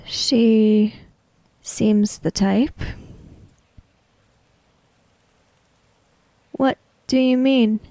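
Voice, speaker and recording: Woman playing a slow, formal female samurai. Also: fairly high pitch, steady tone, warm tone, dry recording, rough recording. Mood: calm, probing. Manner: measured, formal, reserved. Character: calm, courteous female samurai questioning a ghost.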